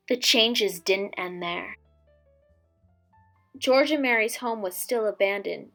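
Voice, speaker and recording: High-voiced woman reading a storybook over music. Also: fairly bright tone. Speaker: teacher reading a children's storybook aloud. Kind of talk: expressive scripted storybook read-aloud. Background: background music.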